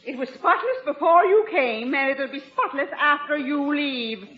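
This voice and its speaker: Feisty high-pitched American housekeeper. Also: female voice, measured pace. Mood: indignant. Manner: blunt, defiant, wisecracking. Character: feisty, blunt long-serving American housekeeper. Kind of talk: 1950s radio comedy acting. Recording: muffled, echoey old radio recording.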